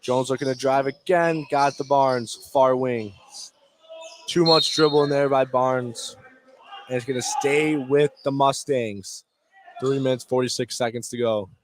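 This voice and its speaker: Male basketball play-by-play commentator, mid-pitched, clipped running phrases, live radio style, noisy game background with ball bounces. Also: steady tone, measured pace.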